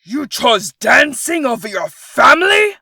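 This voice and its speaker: Woman voicing a forceful, angry, stern father. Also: high voice, sing-song, unhurried, gravelly, slightly rough, dry recording, clean studio sound, pitched above the performer's own voice, heavily acted. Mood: angry, accusing. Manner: accusing, forceful. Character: angry, stern, scornful father of grown daughters. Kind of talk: audiobook character dialogue.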